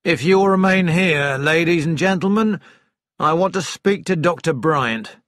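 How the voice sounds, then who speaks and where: A man's voice: on the high side, normal speed, fairly bright tone, no room sound, clean studio sound, pitched above the performer's own voice, lightly acted. A man voicing a civil, authoritative police inspector in an audiobook, with a businesslike, polite manner. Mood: polite, commanding.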